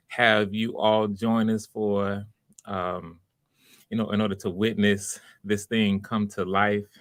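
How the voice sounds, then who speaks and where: A man hosting a live online audition stream in casual, halting, unscripted chat, welcoming and encouraging to his viewers. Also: lowish voice, a bit bright, no echo. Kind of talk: casual livestream chat, greeting viewers by name.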